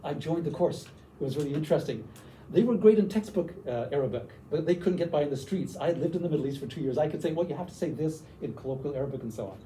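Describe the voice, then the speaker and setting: A man's voice: fairly high pitch, lively intonation, fast talker, dark tone, distant mic, slight background noise, rough recording. An older man from Canada giving a teacher workshop, enthusiastic and often checking in with "okay?". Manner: enthusiastic, tags lines with "okay?". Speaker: workshop presenter.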